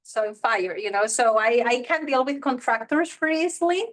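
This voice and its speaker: A woman's voice: fairly high pitch, animated, normal speed. A woman, chatting candidly as an interview guest and often saying "you know" and "like". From Spain. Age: 30s. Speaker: interview guest, real estate investor. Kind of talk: casual interview chat.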